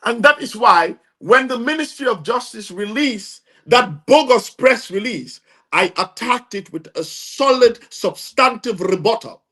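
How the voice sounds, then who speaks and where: A man's voice: very high-pitched, expressive, a little slow, fairly bright tone. A man with a Gambian accent speaking as an interview guest, emphatic and insistent, repeating phrases for stress. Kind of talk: impassioned interview testimony.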